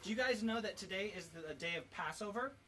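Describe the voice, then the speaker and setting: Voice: mid-range voice, lively intonation, brisk. A parent leads a family Bible lesson in an instructive, quizzing manner, often repeating answers back. The voice is picked up from a little distance, with faint noise behind it. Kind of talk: teaching children with questions. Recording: distant mic with light background noise.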